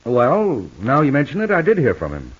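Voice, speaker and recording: An actor playing a protective, stubbornly loving husband in a 1950s radio soap, affectionate, offhand and firm. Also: male voice, mid-range voice, wildly swooping pitch, normal speed, breathy edge. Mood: evasive, offhand. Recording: dull, band-limited old radio recording.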